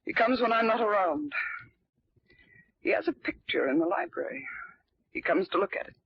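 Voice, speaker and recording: An actress playing an emotional, defensive, jealous wife in a 1940s radio melodrama, with a faltering manner. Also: female voice, a little high, very animated, moderate pace, slightly rough, no room sound, heavily acted. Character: distraught, jealous, defensive wife under suspicion. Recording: dull vintage radio recording.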